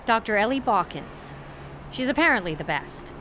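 An actress playing a sheltered young college student in an audio drama, wry, guarded and self-deprecating, in a naturalistic style. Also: female voice, a little high, sing-song, normal speed, fairly bright tone, a little hiss or hum. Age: young adult.

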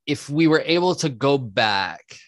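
A man's voice: medium pitch, animated, a bit bright, no room sound, clean studio sound. A man in his late thirties, co-hosting a podcast in casual chat, rambling along with many fillers and restarts. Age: 30s. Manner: slow, rambling, hesitant with fillers. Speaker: podcast co-host.

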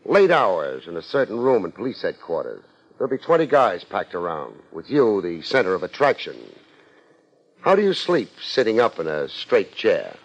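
A man's voice: lowish voice, wildly swooping pitch, moderate pace, full character voice. An American man playing a hard-boiled, wisecracking private investigator in a 1950s radio drama, sardonic and unruffled. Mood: sardonic, pressing. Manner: sardonic, unruffled, wry first-person narration and blunt questioning. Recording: muffled 1950s radio recording with music.

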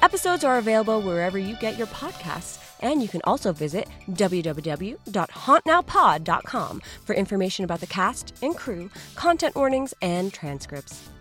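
A woman podcast host reads a playful sponsor message over faint music. Her delivery is whimsical and tongue-in-cheek, with very lively pitch movement. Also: moderate pace, hardly any pauses. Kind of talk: playful scripted promo.

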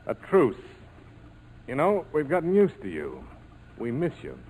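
An actor playing a tough, cool American major with a wry, clipped, persuasive way of talking in 1940s radio style. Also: male voice, mid-range voice, wildly swooping pitch, measured pace, a little mellow. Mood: wry, pressing. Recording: noisy old 1940s radio broadcast.

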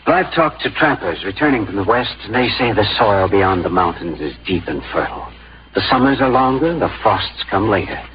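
An actor playing a hopeful young frontier suitor in a radio drama, respectful and earnest but firm. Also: male voice, lowish voice, lively intonation, a little fast, breathy edge. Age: young adult. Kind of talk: 1950s radio drama acting. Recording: muffled old radio recording.

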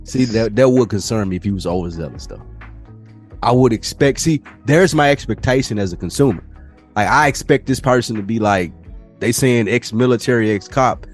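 A man's voice: moderate pace, faint music behind. A young man co-hosting a podcast, telling stories casually and animatedly. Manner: animated storyteller, mimics people in higher voices. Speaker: podcast co-host. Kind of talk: casual chat.

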